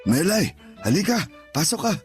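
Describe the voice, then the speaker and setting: A middle-aged father who is bashful and smitten, in a radio drama. His voice sits a little high, and he talks in a homely, playfully defensive way. Soft music plays faintly behind him. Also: sing-song, moderate pace. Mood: eager.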